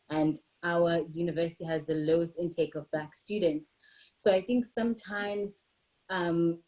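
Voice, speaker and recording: A woman from Zimbabwe speaking as a panelist in a discussion, making an earnest, measured argument. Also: fairly low pitch, warm tone, rough recording.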